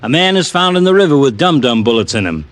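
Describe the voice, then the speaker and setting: A man's voice: medium pitch, sing-song, fast talker, dry recording, natural voice. A man playing a blunt, skeptical American police lieutenant in an old radio drama, grumbling and sarcastic. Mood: exasperated, sarcastic. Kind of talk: 1940s radio drama acting. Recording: vintage radio drama recording.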